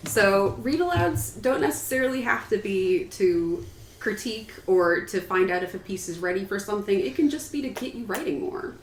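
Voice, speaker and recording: A woman's voice: mid-range voice, lively intonation, moderate pace. Wry woman in a roomy, noisy recording. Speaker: writer on a podcast panel. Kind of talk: casual chat. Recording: roomy, distant mic with background noise.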